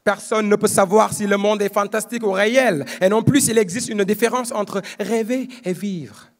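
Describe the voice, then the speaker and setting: A man performing slam poetry on stage, declaiming aphorisms in a solemn, measured way that sinks low at the ends of phrases. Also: steady tone. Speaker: slam poet. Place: live competition stage.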